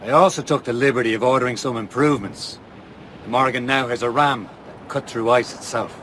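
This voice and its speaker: A loyal and wary first mate acted by a man in a seafaring game. His voice is middling in pitch. The rumble of a boat and clear wave noise surround it. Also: brisk, a bit bright, lightly acted. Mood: steady, practical. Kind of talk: video game cutscene acting.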